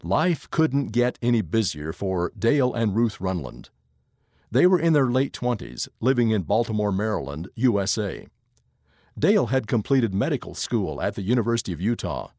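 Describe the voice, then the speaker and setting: A man giving a scripted narration of an article in a measured, expressive reading voice. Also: lowish voice, no echo, clean studio sound.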